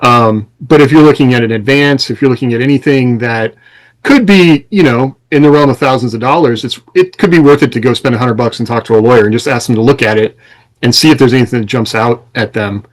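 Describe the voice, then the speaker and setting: A man's voice: mid-range voice, a little fast, rough recording. A man co-hosting a casual panel podcast, giving practical advice with a self-deprecating, lightly amused manner. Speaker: podcast co-host and author.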